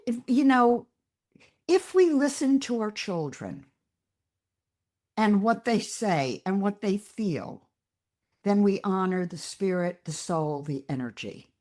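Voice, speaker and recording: An older woman speaks as a psychologist guest on a podcast at a slow, unhurried pace. She is earnest and reflective, and she gently makes her points as she talks. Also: medium pitch. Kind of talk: interview conversation.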